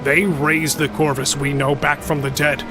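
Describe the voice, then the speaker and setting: A man playing an irreverent smith and lore keeper explaining dark history in a fantasy audio drama. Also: on the high side, flat delivery, moderate pace, music in the background, natural voice. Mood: ominous.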